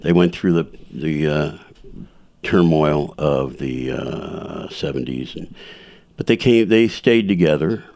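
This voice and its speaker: Low-voiced calm middle-aged male guest. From New Jersey. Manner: calm, halting, with pauses and fillers. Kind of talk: interview conversation.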